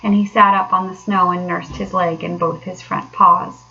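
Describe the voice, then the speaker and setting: A woman, a teacher reading aloud, giving an expressive narration of a children's story. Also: on the low side, moderate pace, vocal fry, muffled recording.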